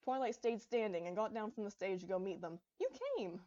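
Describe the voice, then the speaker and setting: A woman narrates a fan fiction story from a script. Her voice sits at a medium pitch with an even brightness, and the recording sounds slightly dull. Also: quick pace.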